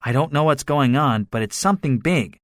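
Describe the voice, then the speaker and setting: A man's voice: medium pitch, lively intonation, moderate pace, dry recording, clean studio sound, voice pushed up, lightly acted. A man voicing a cynical, boastful soldier survivor in a dramatized story, speaking emphatically with a swaggering manner. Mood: urgent, wary. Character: cynical, boastful male soldier, excitable survivor with grand schemes. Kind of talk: dramatized audiobook dialogue.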